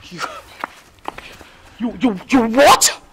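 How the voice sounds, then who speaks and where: A woman's voice: a little high, lively intonation, extremely slow, noisy background. An actress playing a stern widowed mother, forbidding her daughter a romance in a melodrama. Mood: outraged. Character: stern widowed mother, middle-aged, bitter and commanding. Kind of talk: melodrama acting.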